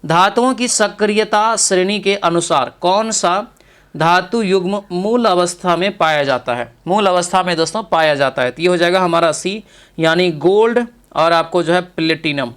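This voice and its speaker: A man's voice: high-pitched, normal speed, fairly bright tone, clean tone. A man teaching an exam practice lesson, reading each question aloud and then confirming the answer.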